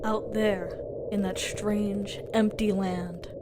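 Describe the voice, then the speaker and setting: A woman narrating a fantasy tale in a measured, solemn and dramatic storybook style. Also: medium pitch, flat delivery, heavy background noise, natural voice. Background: loud music.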